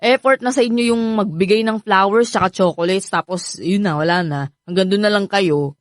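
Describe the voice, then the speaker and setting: Radio drama: straight-talking young Filipina friend, played by a woman, exasperated, average pitch, close dry sound. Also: moderate pace, no room sound, natural voice. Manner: blunt, lecturing. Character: frank, exasperated young female friend giving courting advice.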